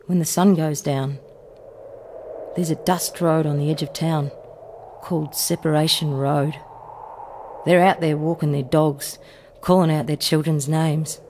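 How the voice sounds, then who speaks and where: A woman's voice: deep voice, a little hiss or hum, lightly acted. An Australian woman acting in a radio play as a wary, streetwise young runaway, plain-spoken and colloquial. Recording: music under the voice.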